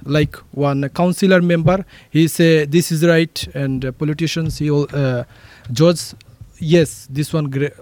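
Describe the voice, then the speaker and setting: A young man with a Bangladeshi accent, a student answering questions in halting, repetitive non-native English. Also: on the high side, a little mellow, no echo. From Sylhet, Bangladesh. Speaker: student being interviewed.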